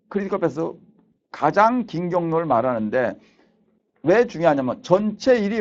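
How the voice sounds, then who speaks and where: A man's voice: high-pitched, darkish tone. A man in his fifties lecturing as a university professor, explaining concepts didactically and repeating key points. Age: 50s. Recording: dull lo-fi lecture recording.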